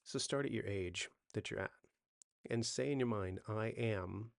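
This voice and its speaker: A man's voice: lowish voice, unhurried, gravelly, no room sound, studio quality. A man in his forties giving sleep advice off the cuff, talking conversationally, correcting himself and often checking in with the listener. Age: 40s. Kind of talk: unscripted how-to talk to camera.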